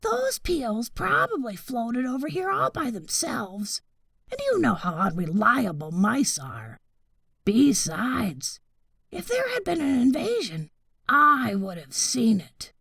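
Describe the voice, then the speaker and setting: A woman voicing a complacent, dismissive storybook wild boar in a smug, solemn hush. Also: a little high, sing-song, takes it slowly, vocal fry, breathy edge, dry recording, distant mic, exaggerated voice. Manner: hushed, solemn whispering, smug. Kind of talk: children's storybook character voice.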